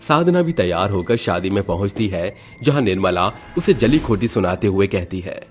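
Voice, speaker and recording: A man tells a cartoon horror story as narrator. His voice sits low. He narrates with dramatic steadiness. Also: lively intonation, moderate pace, a little mellow, soft background music.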